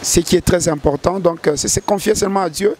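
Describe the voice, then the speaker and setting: A man's voice: high voice, fast, darkish tone. A man, a gospel singer answering interview questions earnestly, often restarting his sentences. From DR Congo. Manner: earnest, hesitant with repeated restarts.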